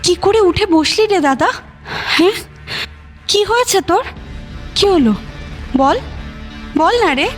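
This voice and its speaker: An actress playing an anxious, affectionate young sister in an audio drama, pleading tearfully. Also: female voice, very high-pitched, expressive, slow pace, long pauses, faint music behind, heavily acted.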